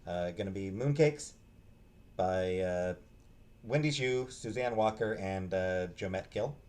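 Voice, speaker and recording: Male vlog reviewer, fairly low voice, informal and off the cuff, halting delivery with frequent restarts. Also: a little mellow. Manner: halting, hesitant, trailing off and restarting. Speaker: book reviewer, vlog host. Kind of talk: casual unscripted book review chat.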